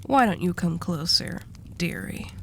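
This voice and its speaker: A woman's voice: on the low side, animated, measured pace, vocal fry, in a whisper. A woman playing a dominant, seductive mafia boss in a roleplay audio drama, teasing and possessive with a coaxing menace.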